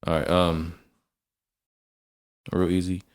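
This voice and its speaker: A man's voice: low voice, monotone, takes it slowly. A man hosting a podcast in casual, slangy conversation. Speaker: podcast host.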